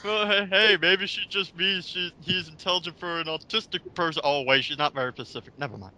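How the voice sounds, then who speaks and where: A man's voice: a little high, brisk, bright, forceful tone, low-quality recording. A blunt, sarcastic, foul-mouthed man giving mocking commentary on an online post with others.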